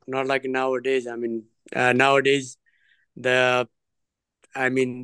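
A man, his voice smooth and resonant. He tells his story earnestly, pausing and circling back as he goes. The sound is close and dry, with no room echo. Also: mid-range voice, fairly bright tone. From Nepal. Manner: earnest, digressive, hesitant phrasing. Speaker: trekking guest. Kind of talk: interview storytelling.

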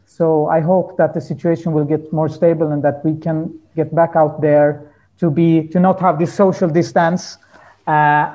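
A man talking reflectively as an interview guest, earnest and halting as he searches for his words. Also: sits high, highs cut off. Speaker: guest musician.

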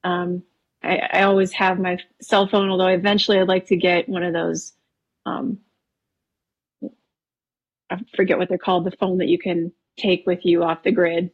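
A woman from Wisconsin in her thirties, a painter talking casually as a podcast guest, relaxed and chatty with frequent ums. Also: on the low side, moderate pace, pauses often, muffled recording. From Wisconsin, USA. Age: 30s. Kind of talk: casual interview conversation.

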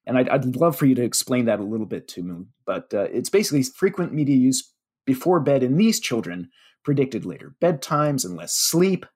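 A man with a slightly husky voice and a Pennsylvania Dutch accent chats about research in a relaxed, informal way. His pitch moves around a lot as he talks. He fills pauses with little "uh"s and pokes fun at himself. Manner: chatty, with fillers and self-deprecating jokes. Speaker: media relations director. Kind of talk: casual podcast chat.

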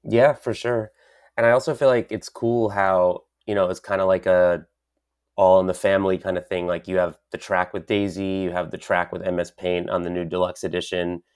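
A man hosting an interview, chatting in a relaxed, enthusiastic way and often saying "like". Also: deep voice, normal speed, clean tone. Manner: enthusiastic, chatty, uses "like" a lot. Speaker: podcast host. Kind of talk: casual interview chat.

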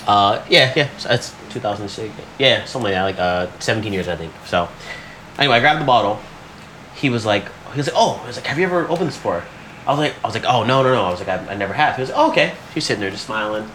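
Fast-talking, low-voiced guy swapping stories. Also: expressive, pushed and bright, a little hiss or hum, poor audio. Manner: slangy, profane, quotes people in retellings. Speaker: podcast co-host. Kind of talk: casual storytelling banter.

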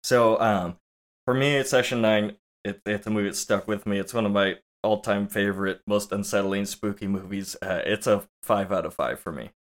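A man co-hosting a casual movie podcast, relaxed and playful, talking after very little sleep. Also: fairly low pitch, moderate pace. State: low on sleep. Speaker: podcast co-host.

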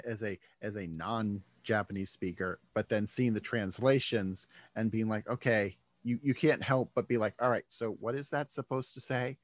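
A man's voice: fairly low pitch, moderate pace, few pauses, dry recording. Rambling male podcast host thinking aloud. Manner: rambling, thinks aloud, starts over often. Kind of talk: casual conversation.

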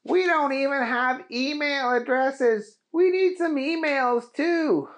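Keith, a squeaky drawling cowboy. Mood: excited. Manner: drawn-out hollering, very high. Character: excitable folksy cowboy man, slow-drawling and squeaky.

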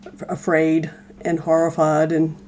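Female podcast guest, relaxed and lowish, hesitant informal chat. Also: unhurried. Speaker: guest co-host. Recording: noticeable background noise.

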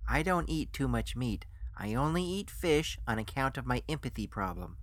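A narrator plays the earnest, squeamish hero of an audiobook tale. He sounds incredulous and hesitant, with lively pitch and a dry, close sound. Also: normal speed, no room sound, natural voice. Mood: apologetic. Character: bewildered, squeamish story hero, earnest and indignant.

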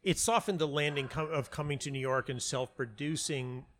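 American man reminiscing in a halting, rambling way. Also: on the high side, steady tone, fairly bright tone, a little hiss or hum. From United States (North Carolina). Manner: halting, self-interrupting, rambling storyteller with repeated words. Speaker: interview guest, playwright and audio dramatist. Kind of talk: casual reflective interview conversation. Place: hotel lobby.

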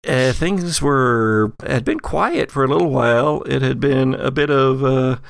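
A man's voice: mid-range voice, expressive, measured pace, vocal fry, dry recording, studio quality. A man narrating as game master and podcast host, shifting between theatrical storytelling and casual asides. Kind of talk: recapping and running a tabletop game.

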